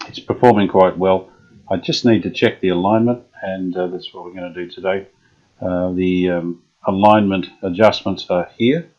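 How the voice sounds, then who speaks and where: Husky low-voiced man. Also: a little mellow, dull audio. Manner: unhurried, thinking aloud with many fillers. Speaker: radio restorer. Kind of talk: casual workshop demonstration.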